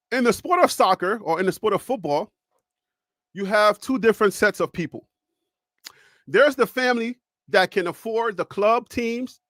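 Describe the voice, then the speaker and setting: Brief: Liberian-accented man, sports stream host, raised pitch, dry close mic, loose storyteller. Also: a bit bright, dry recording. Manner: storytelling, chatty with audience. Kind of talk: live-stream sports talk. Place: home studio.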